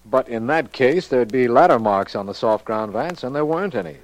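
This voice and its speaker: An actor playing a detective's inquisitive, genial official partner in a 1940s radio drama. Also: male voice, mid-range voice, lively intonation, brisk, dry recording, natural voice. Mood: puzzled, curious. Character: male official, detective's inquisitive, hearty crime-fighting partner.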